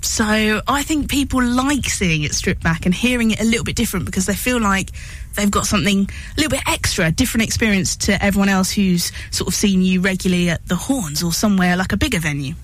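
A woman's voice: medium pitch, fairly quick, hardly any pauses, rough recording. A woman hosting a radio show, interviewing in a conversational, enthusiastic way. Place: radio studio.

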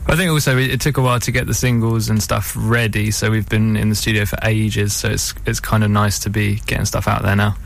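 A man's voice: on the low side, flat delivery, a little fast, creaky, hardly any pauses. A man in a band, chatting casually as a guest in a radio studio interview.

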